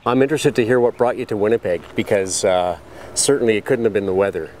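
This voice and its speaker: A middle-aged man conducts a conversational interview in a low voice. He phrases his questions in a measured, friendly manner. There is faint noise in the background. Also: darkish tone. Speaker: interviewer, physician colleague.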